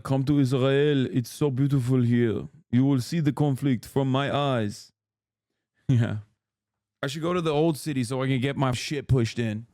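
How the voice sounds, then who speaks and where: Male streamer, 30s, casual reaction commentary, sarcastic and crude, smooth clear tone. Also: mid-range voice, darkish tone, dry recording, clean studio sound. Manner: sarcastic, profane, reads text aloud then mocks it.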